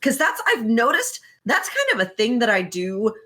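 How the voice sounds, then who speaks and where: A woman's voice: a little high, huge pitch swings, normal speed, bright, forceful tone. A woman hosts a casual podcast chat. She talks informally and swears freely, with a giggly manner as she retells and comments on a story. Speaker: podcast host.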